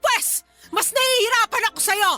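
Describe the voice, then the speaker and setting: Casting: scornful, quick-tempered mother for radio drama; extremely high, piercing, bright delivery. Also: soft background music. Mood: furious, scornful. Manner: scornful, emotional, defensive. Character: bitter, hot-tempered mother of a grown child.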